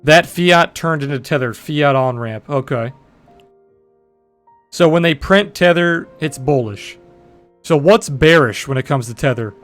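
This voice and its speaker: A young man in his twenties with a deep voice, chatting bluntly and profanely with viewers on a trading livestream. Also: lively intonation, unhurried, fairly bright tone, soft background music. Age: 20s. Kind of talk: casual livestream chat answering viewers.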